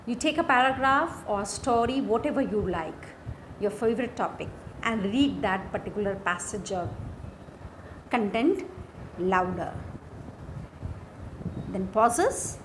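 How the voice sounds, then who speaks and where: A woman with an Indian accent teaching a lesson from slides in a deliberate way, repeating key phrases. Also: medium pitch, animated, slight background noise, low-quality recording. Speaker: teacher, lecturer. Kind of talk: instructional lesson explaining slides.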